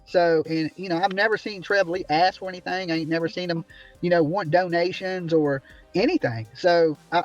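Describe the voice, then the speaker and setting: A man's voice: high voice, highs cut off. A man ranting indignantly on a livestream from a small room, repeatedly asking "right?" as he complains. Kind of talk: heated livestream commentary.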